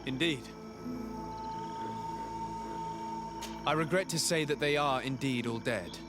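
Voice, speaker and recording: An actor playing a courteous, composed envoy investigating in a video game, speaking formally and deliberately. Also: male voice, medium pitch, over music, close to an everyday voice. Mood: coolly accusing. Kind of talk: measured game dialogue.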